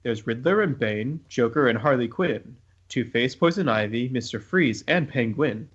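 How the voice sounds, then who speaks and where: Guy doing a tired police chief, raspy and grave, kinda muffled recording. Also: medium pitch, lively intonation, normal speed. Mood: grave, urgent. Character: weary male police commissioner briefing a hero.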